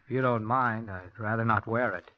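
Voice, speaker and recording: An actor playing a meek young handyman who speaks softly, slowly and fussily, in 1940s radio acting on a lo-fi old broadcast. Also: lowish voice, natural voice. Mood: quietly odd. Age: young adult. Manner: soft, slow, fussy. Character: meek, odd young male handyman, quietly unsettling.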